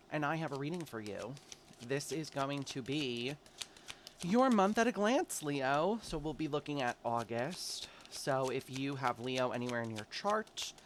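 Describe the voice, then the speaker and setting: Congested man with a gravelly voice. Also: mid-range voice, slight background noise. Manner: chatty, warm-hearted, talks straight to viewers. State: stuffy, congested from allergies. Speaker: tarot reader. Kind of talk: casual monthly tarot forecast.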